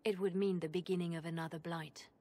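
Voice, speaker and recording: A woman's voice: lowish voice, steady tone, fast, warm tone, natural voice. A woman playing a grim, composed adviser in a fantasy game, grave and matter-of-fact. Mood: grim, certain. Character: grim, composed female adviser giving dark warnings. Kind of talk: dramatic fantasy game dialogue.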